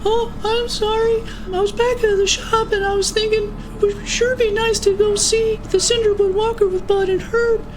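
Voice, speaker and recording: Tiny, very high, hapless voice. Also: male voice, little pitch movement, moderate pace, few pauses, rough recording. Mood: sheepish. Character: timid, hapless, apologetic small friend. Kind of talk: audio drama acting. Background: music.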